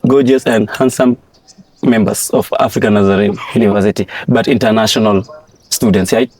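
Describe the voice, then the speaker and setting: A man's voice: lowish voice, steady tone, brisk, darkish tone, dry recording, rough recording. A young man hosting a casual campus interview, teasing and laughing with his guests. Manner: playful, teasing, laughing often. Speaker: host. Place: university campus.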